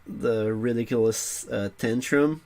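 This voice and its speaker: Slow, halting man co-hosting. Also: medium pitch, a little mellow. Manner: halting, many uhs and restarts. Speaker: podcast co-host. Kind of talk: casual chat.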